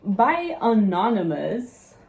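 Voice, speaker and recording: A woman's voice: medium pitch, very animated, takes it slowly, gravelly, phone-quality sound, slight background noise. A young woman co-hosting a casual talk show over a video call, joking and playful. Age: young adult. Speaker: co-host. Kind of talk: casual chat.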